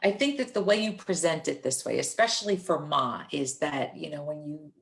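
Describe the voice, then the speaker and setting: A woman's voice: low voice, normal speed, vocal fry. A woman interviewing an author, responding enthusiastically while she searches for her words. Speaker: library interviewer. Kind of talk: casual interview talk.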